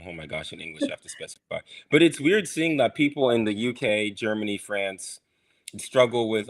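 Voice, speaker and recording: A young man from the US chatting casually, easygoing and often hesitating as he searches for words. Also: lowish voice. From United States. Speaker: co-host. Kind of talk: casual chat.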